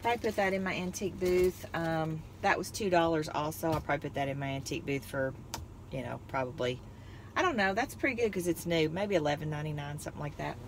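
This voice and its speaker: A woman's voice: low-pitched, fast talker. A woman, chatting casually and rambling about her finds from inside a car. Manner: chatty, rambling, laughs often. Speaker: vlogger. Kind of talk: casual haul chat. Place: parked car interior, then driving. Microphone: phone on dashboard. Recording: noisy car interior.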